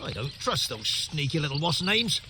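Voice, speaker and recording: A man's voice: on the high side, big pitch swings, normal speed, background noise. A man playing a dim, jittery village peasant on watch, in a comic video game. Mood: suspicious.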